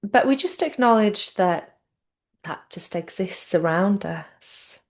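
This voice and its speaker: Woman, medium pitch, slow delivery, soothing, gentle guided relaxation, informal yoga instructor. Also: expressive. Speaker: yoga nidra teacher.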